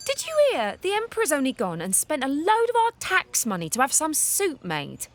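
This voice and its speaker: Poor working class townswoman, slangy, highish voice, lively pitch, audio play satire. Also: moderate pace, heavily acted. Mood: chatty, then meek. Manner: colloquial, plain-spoken. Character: poor, chirpy working-class woman, Cheryl.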